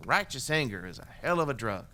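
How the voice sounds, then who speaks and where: Blunt, bitter ex-extremist man with a forceful edge. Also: medium pitch, sing-song, a little fast, slight background noise. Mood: bitter, wry. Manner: blunt, profane, conversational, wry. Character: remorseful, bitter former extremist gunman confessing his past. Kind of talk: dramatic monologue in audio drama, told as interview testimony.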